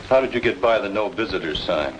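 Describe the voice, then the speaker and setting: A man's voice: fairly low pitch, animated, fast talker, slight background noise, lightly acted, poor audio. An actor playing a cool, secretive noir man in an old-time radio drama, with wry, clipped, guarded delivery.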